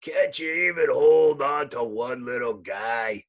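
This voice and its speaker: A man voicing a gruff, combative comic-book strongman hero in a blustering shout. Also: medium pitch, slow, muffled recording.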